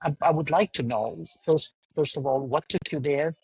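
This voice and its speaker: A man's voice: a little high, moderate pace, gravelly, a little mellow, slightly rough, no room sound, rough recording. A man hosting a podcast interview, asking questions with many fillers and restarts. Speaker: podcast host. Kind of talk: casual interview.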